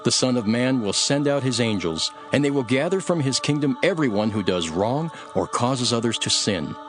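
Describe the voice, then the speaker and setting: A man playing a calm, authoritative teacher telling parables in a measured, solemn dramatized scripture reading. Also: mid-range voice, music in the background, lightly acted. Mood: solemn warning.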